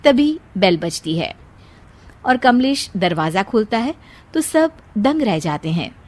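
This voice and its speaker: A woman's voice: mid-range voice, very animated, takes it slowly, darkish tone. A woman narrator reading a scripted story.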